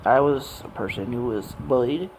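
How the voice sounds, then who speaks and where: A man in his late twenties talks through an unscripted personal monologue at a middling pitch. His phrasing is halting and meandering, often breaking off. The amateur recording carries clear noise behind the voice. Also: a little mellow, poor audio. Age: late 20s. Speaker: amateur gaming vlogger.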